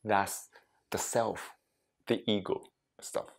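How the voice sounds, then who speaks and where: A man leading a reflective mindfulness talk as a meditation teacher, speaking deliberately in short phrases with frequent pauses and non-native English phrasing. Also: on the low side, flat delivery, gravelly. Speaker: meditation teacher giving a workplace session.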